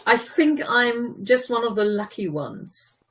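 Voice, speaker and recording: A woman's voice: fairly high pitch, expressive, slow pace, a bit bright, breathy edge. A woman whose first language is German, from Germany, tutoring in a casual chat, patient and explanatory, laughing easily.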